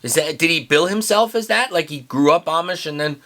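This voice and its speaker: A man's voice: fairly high pitch, animated, a little fast, a bit bright. A man in his forties with a Pennsylvania Dutch accent, chatting casually and joking as co-host. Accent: Pennsylvania Dutch-influenced American English. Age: 40s. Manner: folksy, joking, talks in restarts. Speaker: co-host, high school teacher. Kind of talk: casual chat.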